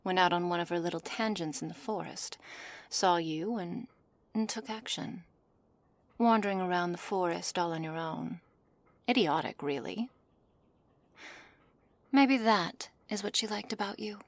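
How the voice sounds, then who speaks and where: A woman playing a haughty, condescending witch in an ASMR roleplay, speaking softly in measured, formal phrases with a commanding manner. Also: on the low side, dry recording. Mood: musing, cool. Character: cold, haughty witch, imperious captor of a werewolf. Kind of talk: ASMR roleplay, scripted character acting. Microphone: close studio mic, dry.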